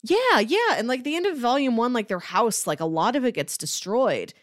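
Animated woman chatting, dry close sound. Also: a little high, normal speed, no echo. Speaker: podcast co-host. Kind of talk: casual book discussion podcast.

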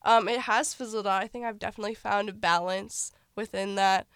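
A woman's voice: medium pitch, steady tone, brisk, fairly bright tone, smooth, no room sound, clean studio sound. A teenage girl co-hosting a casual podcast, stuffy from a cold, rambling through stories with lots of "like". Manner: rambling storyteller, heavy use of "like". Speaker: podcast co-host. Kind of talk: casual chat.

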